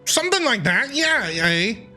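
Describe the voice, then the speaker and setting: A woman's voice: a little high, big pitch swings, unhurried, sharp tone. A woman doing casual, playful live commentary as a game streamer, reacting conversationally. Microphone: close streaming mic. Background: game music.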